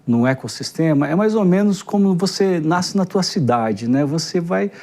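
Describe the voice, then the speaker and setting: Man with a São Paulo accent, warm tone. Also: a little high, lively intonation, moderate pace. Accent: São Paulo Portuguese. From Guarulhos, Brazil. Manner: thoughtful, repeats words while searching for phrasing. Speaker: guest, innovation executive. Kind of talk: interview answers.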